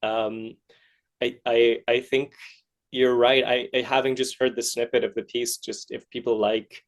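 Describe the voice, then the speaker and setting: Creaky-voiced man hosting casually. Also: medium pitch, little pitch movement, unhurried. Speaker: podcast host interviewer. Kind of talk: casual interview chat.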